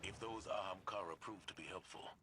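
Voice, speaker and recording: A man's voice: sits low, a little fast, close to an everyday voice. A man playing a proud, stern military commander in a video game, formal, emphatic and used to giving orders. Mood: commanding. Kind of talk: video game mission dialogue and drama. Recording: game audio under effects noise.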